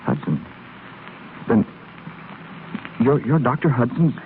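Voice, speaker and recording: Brash 1930s radio leading man, very animated. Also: medium pitch, takes it slowly. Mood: contrite. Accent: American. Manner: glib, pleading, theatrical. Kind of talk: 1930s American radio drama acting. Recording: noisy, narrow-band 1930s radio broadcast.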